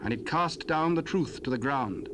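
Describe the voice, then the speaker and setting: A man's voice: on the high side, normal speed. Solemn male scripture narrator. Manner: steady, solemn. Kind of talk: 1970s dramatized scripture narration. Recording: hissy cassette tape.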